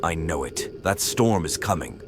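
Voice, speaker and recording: Deep-voiced rebel warlord, grave and driven, acted by a man, low hum of a ship behind. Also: expressive. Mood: resolute. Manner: measured, commanding, grave. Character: ruthless male rebel commander, cold, driven. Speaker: rebel leader. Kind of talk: fan audio drama acting.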